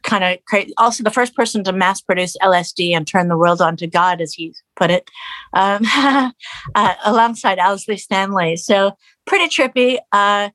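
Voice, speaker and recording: A woman's voice: mid-range voice, moderate pace, dry recording. A middle-aged woman from Canada who grew up in Los Angeles, a musician talking casually in an interview, full of restarts and fillers. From Canada, raised in Los Angeles. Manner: animated, many restarts and fillers, quotes others in raised voice. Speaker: guest musician. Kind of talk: conversational interview.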